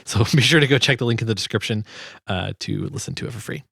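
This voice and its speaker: A man giving a casual tutorial as a sound designer, informal and halting as he thinks aloud. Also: on the low side, vocal fry, a bit bright, slightly rough, no room sound, clean studio sound. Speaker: sound designer and video host.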